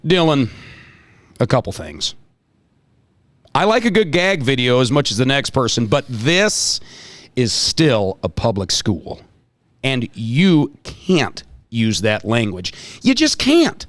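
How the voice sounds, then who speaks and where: A man playing a stern, prim high school principal with a pompous, deliberate scolding manner in a comedy table read. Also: very animated, fairly bright tone, no echo.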